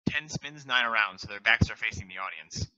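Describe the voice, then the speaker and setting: A young man reading stage directions in a plain, steady way over a headset on a video call. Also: fairly low pitch, brisk, dry recording, dull audio. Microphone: gaming headset on video call.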